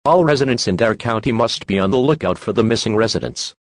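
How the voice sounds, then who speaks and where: Man as an impersonal emergency alert voice: formal, measured, very dry sound. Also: fairly low pitch, no room sound. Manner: flat, formal, measured delivery. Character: impersonal male emergency broadcast announcer.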